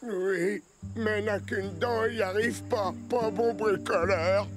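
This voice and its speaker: Performer as lonely one-toothed cartoon creature, very slow broken speech, childlike, gruff temper, music loud underneath. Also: medium pitch, close to an everyday voice. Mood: sad, self-pitying. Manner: broken speech, speaks of self in third person.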